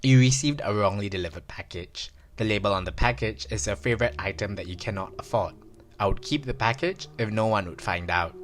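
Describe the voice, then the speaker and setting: A man's voice: on the low side, brisk, a bit bright. A man with a Singaporean English accent reading prompts as a show host. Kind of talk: scripted reading.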